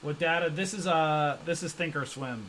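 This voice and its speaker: Guy hosting a trading livestream, chatty and jokey, kinda higher voice, faint hum behind him. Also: normal speed, fairly bright tone. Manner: loose, joking, slangy, reacts to viewer comments. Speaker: stock trading livestream host. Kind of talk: casual livestream chat reading comments.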